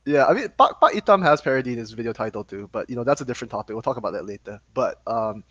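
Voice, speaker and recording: A young man from Malaysia co-hosting a podcast, talking fast in a casual, sarcastic way and laughing often. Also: mid-range voice, lively intonation, a bit bright, no echo, muffled recording. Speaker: podcast co-host. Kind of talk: casual chat.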